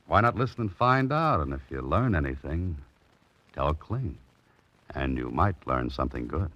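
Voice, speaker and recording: A man's voice: extremely low pitch, expressive, normal speed, no echo. A man playing a cool, wry American amateur detective in a 1940s radio mystery, clipped and understated. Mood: coaxing, easygoing. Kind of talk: 1940s radio drama narration and acting. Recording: dull old radio recording.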